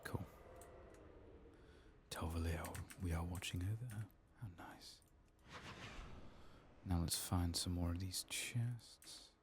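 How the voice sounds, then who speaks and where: Deep, soft-spoken man doing ASMR. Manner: soft-spoken, murmured, unhurried remarks. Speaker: ASMR gaming streamer. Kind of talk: soft-spoken and whispered ASMR game commentary. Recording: clear background noise with clicking.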